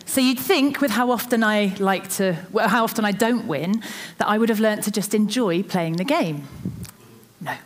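A woman's voice: medium pitch, moderate pace, a little hiss or hum. Chatty woman preaching. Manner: chatty, self-deprecating storytelling. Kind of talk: sermon. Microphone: microphone.

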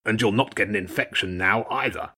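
A man's voice: lowish voice, fairly quick, pushed and bright. A man voicing a stern, mocking old badger king in an audiobook story, with a blunt, commanding manner. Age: older. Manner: blunt, scornful, commanding, short barked phrases.

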